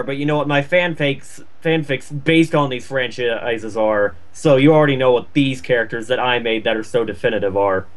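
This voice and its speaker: A man chatting casually as a co-host, giving mocking critique in an exasperated, sardonic, colloquial way. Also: fairly high pitch. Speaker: co-host commentator.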